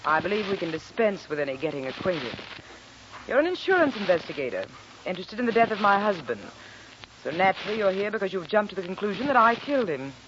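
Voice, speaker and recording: A woman's voice: medium pitch, sing-song, fast talker, lightly acted. A woman playing a haughty, short-tempered widow in a 1940s radio drama, imperious and theatrical. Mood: icy. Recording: noisy, muffled 1940s radio recording.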